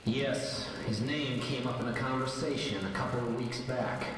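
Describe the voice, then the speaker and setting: A man's voice: medium pitch, steady tone, normal speed, crowd noise, lightly acted. Guy doing a stoic clipped masked hero, radio drama, super echoey and distant. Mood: guarded. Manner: clipped, guarded questioning. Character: terse, stoic male masked vigilante. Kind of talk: old-time radio drama acting. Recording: distant, very echoey, noisy ambience.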